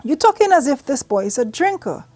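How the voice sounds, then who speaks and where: A woman reader plays an indulgent, easygoing father in a storybook. The lines come high and emphatic, in dialect, over faint music. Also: sing-song, fairly quick, dark tone, dry recording. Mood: indulgent, protesting. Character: indulgent, amused Caribbean father defending his son.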